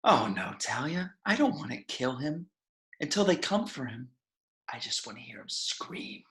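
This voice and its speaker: A man playing a bitter, mocking supervillain with a measured, taunting delivery in a script table read. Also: medium pitch, big pitch swings, fairly bright tone. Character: bitter, mocking male supervillain mastermind, chillingly calm.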